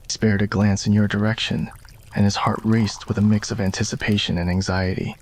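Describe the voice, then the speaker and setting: A man with a fairly low voice narrates a romantic story in ASMR style. The delivery is scripted storytelling, read as a roleplay. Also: little pitch movement, a little fast.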